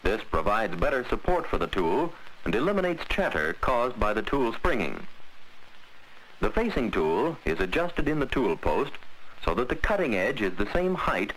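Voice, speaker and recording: Lively American narrator, vintage training film. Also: male voice, medium pitch, low-quality recording. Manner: measured, precise, instructive delivery. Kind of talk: scripted 1940s instructional film narration. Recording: old 1940s film soundtrack, faint hiss.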